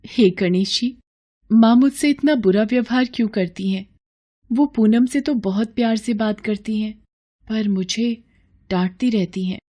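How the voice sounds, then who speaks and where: A woman's voice: on the high side, unhurried, mellow, pitched above the performer's own voice. A woman voicing an innocent, devout young stepdaughter in a storybook tale, earnest, pleading and childlike. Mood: hurt, plaintive. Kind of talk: devotional storybook acting.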